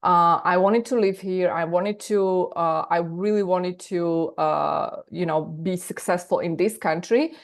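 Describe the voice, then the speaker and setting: A woman's voice: lowish voice, fairly even intonation, unhurried, hardly any pauses. A woman from Slovakia with a Slovak accent, a business coach talking energetically in a live online masterclass over a video call, often repeating words for emphasis.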